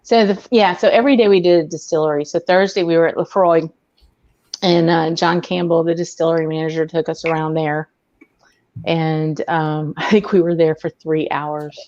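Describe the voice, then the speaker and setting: A woman's voice: sits low, steady tone, normal speed, a little mellow, no echo, dull audio. A woman from Arkansas with a mixed Southern accent, a podcast guest telling stories in casual chat, with lots of ums and laughs. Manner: chatty storyteller with frequent ums and laughs.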